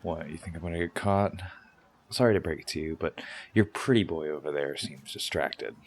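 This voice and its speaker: An actor playing a brooding, wounded rebel killer in an audio drama, persuasive and menacing, intense and often dropping toward a whisper. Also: male voice, low voice, moderate pace. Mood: taunting, intense.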